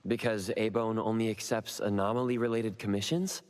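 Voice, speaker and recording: An actor playing a calm, dutiful young investigator in a video game, polite, professional and reassuring. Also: male voice, fairly low pitch, normal speed, clear, resonant tone, dry recording, natural voice. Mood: calm, attentive.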